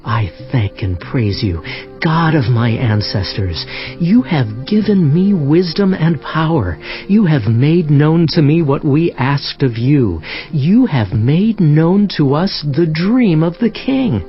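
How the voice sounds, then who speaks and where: A man's voice: fairly high pitch, expressive, slow, lo-fi sound, soft background music. A man playing a devout, tactful young prophet, speaking earnestly and with reverence in a dramatized scripture reading. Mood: reverent, grateful. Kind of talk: dramatized scripture audio acting.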